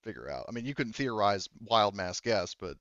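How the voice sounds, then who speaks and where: A man narrating a tabletop roleplaying game as game master, improvising in a halting, rambling, conversational way. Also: fairly low pitch, animated, a bit bright, dry recording, highs cut off.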